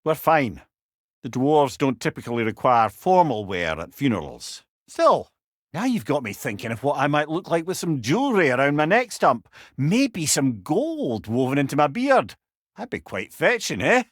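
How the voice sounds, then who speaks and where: An avuncular, bearded old Scottish counsellor, a severed head in a video game. His delivery is wry, courtly and wordy, with a salty streak. The voice sits a little high and moves with lots of melody, in a very dry, close studio sound. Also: very animated, moderate pace, no echo. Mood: wry, musing. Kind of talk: video game character dialogue.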